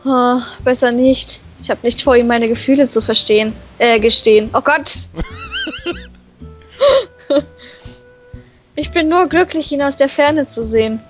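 A woman reading a visual novel aloud in an animated, playful way, breaking off into her own comments. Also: sits high, takes it slowly, pauses often, soft background music, rough recording. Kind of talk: expressive let's play read-aloud.

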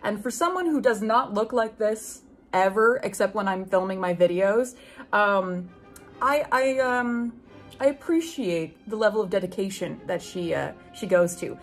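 A young adult woman reading fan fiction aloud with animated, sarcastic casual commentary and free swearing. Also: medium pitch, a little slow, faint music behind. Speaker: book video host. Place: small room at home with bookshelves.

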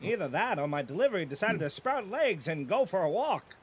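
A man's voice: high-pitched, very animated, a little fast, slightly rough, full character voice. An actor voicing a cocky male cartoon dog who teases and wisecracks in a dry way.

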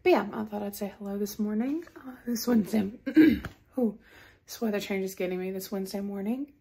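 A young woman with a medium-pitched, noticeably creaky voice talks casually to camera. She sounds tired and takes her time, wandering between thoughts. It is a phone recording at home with faint noise behind her. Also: dark tone. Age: young adult. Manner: tired, unhurried, rambling. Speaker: vlogger. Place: home kitchen, indoors. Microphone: phone front camera at arm's length.

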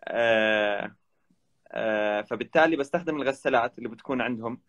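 A young man, a travel vlogger guesting on a live video call from indoors, telling stories in a relaxed, animated way. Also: mid-range voice, fairly quick. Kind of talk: casual live chat. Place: indoor room. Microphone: video call, webcam.

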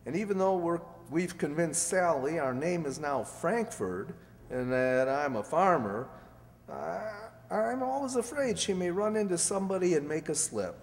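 A man playing a weary, anxious fugitive doctor and father in an old-time radio drama, troubled and halting, on a hall stage. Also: a little high, animated. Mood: worried. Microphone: stand microphone. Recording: echoey hall stage recording.

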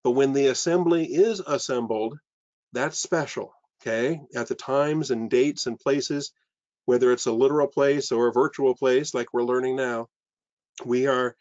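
A man's voice: mid-range voice, fairly even intonation, unhurried, a little mellow, dry recording. An older man, a pastor, teaching a sermon over a video call from a room with bookshelves, earnest and full of fillers and restarts. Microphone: webcam on a video call. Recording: dull lo-fi video call audio.

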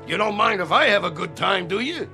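A man's voice: high voice, animated, moderate pace, pushed and bright, faint music behind, full character voice. An actor playing a brash, upbeat, loyal cornerman pal in a radio drama, with slangy, streetwise talk. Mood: breezy, proud. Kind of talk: modern radio drama acting.

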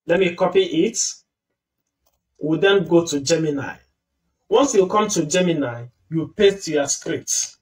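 A man's voice: on the high side, a little slow, recorded from a distance, rough recording. A man hosting a screen-recorded tutorial, patiently explaining each step. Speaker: tutorial host.

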